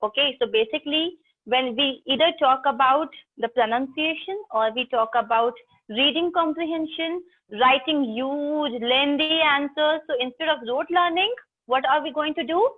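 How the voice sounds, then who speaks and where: High-voiced Indian teacher over tinny call audio. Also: female voice, moderate pace. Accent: Indian English. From South India. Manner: chatty, teasing, talks straight to students. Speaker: English teacher. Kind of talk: online class lecture. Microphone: video call.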